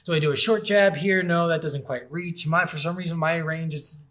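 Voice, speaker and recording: A man's voice: a little high, fairly even intonation, a little fast, a bit bright. A man hosting a gaming podcast in casual, unscripted chat, swearing freely and acting out his exasperated reactions. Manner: profane, exasperated ranting; acts out his reactions in mock quotes. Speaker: podcast host reviewing video games.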